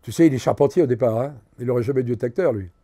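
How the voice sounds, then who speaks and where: An older man, a veteran actor, telling animated anecdotes in a relaxed interview and acting out the lines of the people in his stories. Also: mid-range voice, rapid-fire. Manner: animated anecdotes, acts out quotes. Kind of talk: casual storytelling interview.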